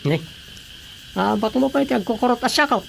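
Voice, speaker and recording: A man's voice: very high-pitched, a little fast, creaky, a bit bright, a little hiss or hum, full character voice, low-quality recording. An actor playing a good-natured Filipino family man in a radio drama, with an Ilocano accent. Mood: matter-of-fact.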